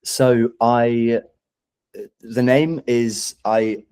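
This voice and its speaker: A man's voice: mid-range voice, fairly even intonation, extremely slow, a little mellow, smooth. A young man with a London English accent chatting casually as a musician in an interview, enthusiastic and rambling in an informal way.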